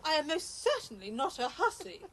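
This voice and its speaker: A woman's voice: high-pitched, big pitch swings, moderate pace, crowd noise. A woman playing a haughty, proper, bossy middle-aged British chairwoman in an amateur radio comedy. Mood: indignant. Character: prim, bossy older club chairwoman, indignant and dignified. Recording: faint background noise, home recording.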